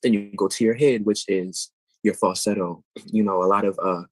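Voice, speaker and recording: A man's voice: fairly low pitch, steady tone, moderate pace, no echo, poor audio. A man, a singer answering interview questions and explaining vocal technique thoughtfully and at length. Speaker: guest singer.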